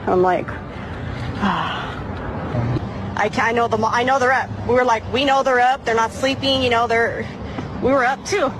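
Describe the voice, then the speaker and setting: A woman's voice: on the high side, steady tone, moderate pace, long pauses. Woman chatting sympathetically, noisy outdoor recording. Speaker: neighbor. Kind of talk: casual, sympathetic conversation.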